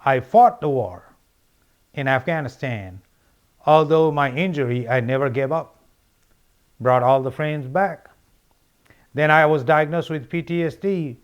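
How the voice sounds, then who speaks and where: A man's voice: a little high, unhurried, long pauses. A middle-aged man from Nepal with a Nepali accent, giving earnest, rambling interview answers as a political candidate, with many fillers and restarts. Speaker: congressional candidate.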